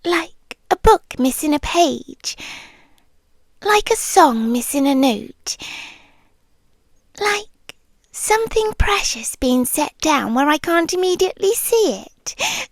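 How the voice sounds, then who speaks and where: A British woman playing an ancient, possessive yet tender female creature in an intimate ASMR roleplay, soft and breathy, often slipping into whispers. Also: high voice, big pitch swings, dry recording, exaggerated voice. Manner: slow, lingering, pausing between phrases. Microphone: close ASMR microphone, dry.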